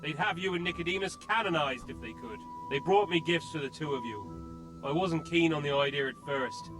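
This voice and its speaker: A man's voice: on the high side, normal speed, music in the background, close to an everyday voice, poor audio. A man playing a grateful village elder. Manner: warm, pious and grateful. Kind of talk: video game cutscene acting.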